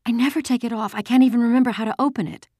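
A woman's voice: mid-range voice, normal speed, dark tone, dry recording, pitched above the performer's own voice, lightly acted. A woman narrator plays a scared young girl in a storybook. The voice is lifted and anxious, urgent in delivery, with a very dry sound. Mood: frantic. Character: frightened young girl, frantic. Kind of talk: audiobook character voice.

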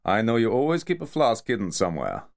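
A man voicing a big, irritable Russian mafia enforcer who grumbles gruffly. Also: on the low side, huge pitch swings, brisk, voice pushed up, heavily acted. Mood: grumbling.

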